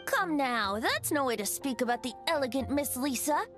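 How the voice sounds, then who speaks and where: A woman's voice: high-pitched, lively intonation, moderate pace, fairly bright tone, faint music behind. A woman voicing a youthful boy bard in a video game, breezy and carefree, with a lilting, teasing and coy delivery. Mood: explaining, coy. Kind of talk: video game character dialogue.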